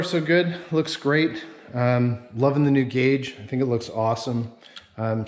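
A man giving a casual, enthusiastic vlog tour in a garage, pausing often as he finds his words. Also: medium pitch. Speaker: snowmobile vlogger. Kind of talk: casual walkaround tour to camera. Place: residential garage with concrete floor.

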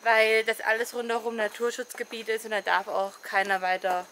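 A woman's voice: fairly high pitch, flat delivery, normal speed, a bit bright, slight background noise. A young woman on a rainforest walk, casually adding a travel tip into her partner's handheld camera. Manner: friendly, adding tips. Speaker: travel vlogger. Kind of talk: casual vlog chat. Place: outdoors in a rainforest park. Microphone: partner's camera at arm's length.

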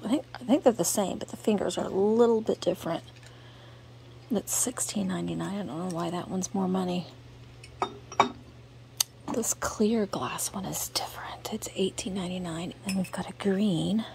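Hushed, creaky-voiced American woman. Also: mid-range voice. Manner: hushed and gentle, often dropping to a near-whisper. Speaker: vlogger showing finds while shopping. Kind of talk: casual, murmured shop-along commentary. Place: indoors in a cluttered antique mall. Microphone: handheld camera's built-in microphone. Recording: clear ambient store noise, sensitive camera microphone.

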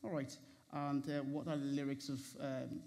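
A man's voice: mid-range voice, flat delivery, normal speed, vocal fry, dark tone. A man hosting a casual TV studio interview, laughing often and restarting his questions. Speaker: talk show host. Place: TV studio set with red sofas.

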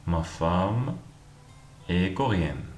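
A man teaching language, reading each short phrase with clear, deliberate pronunciation and repeating it several times. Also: deep voice, expressive. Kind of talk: slow repeated phrase drills. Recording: echoey room with faint noise.

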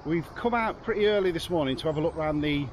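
A man's voice: a little high, quick pace, slight background noise. Chatty English man filming himself outdoors. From England. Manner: chatty, jokey, rambling with restarts. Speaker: vlogger. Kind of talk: casual travel vlog chat. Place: outdoors in town streets and a garden. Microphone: camera held at arm's length.

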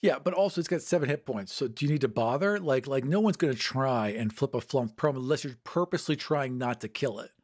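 A man's voice: medium pitch, brisk, hardly any pauses. A man chatting casually and emphatically about tabletop game lore as a podcast panelist.

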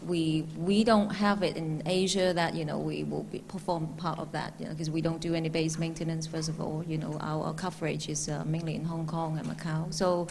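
A middle-aged woman on a conference stage answers hesitantly in a low, gravelly voice, packing her sentences with fillers. She holds a handheld mic, and a faint hum sits under her words. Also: little pitch movement, brisk, few pauses, a little mellow. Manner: hesitant, many fillers like "you know". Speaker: aviation company executive on a panel. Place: large conference hall stage.

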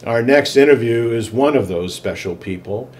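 An older man hosting, reading a measured scripted introduction in a studio. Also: mid-range voice, slight background noise. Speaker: host. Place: studio in front of a backdrop. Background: soft guitar music.

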